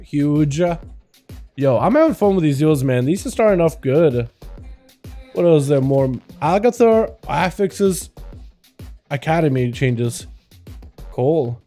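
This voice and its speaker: A man with a slight Russian accent, streaming and calling game duels with excited play-by-play, then chatting loosely with his viewers. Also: on the high side. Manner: fast, excitable play-by-play that turns to loose rambling chat. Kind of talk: excited live game commentary mixed with casual chat.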